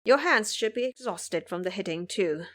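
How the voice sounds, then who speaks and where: Woman as cocky male monkey disciple, audio drama, taunting, boastful, animated intonation. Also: medium pitch, normal speed, close to an everyday voice.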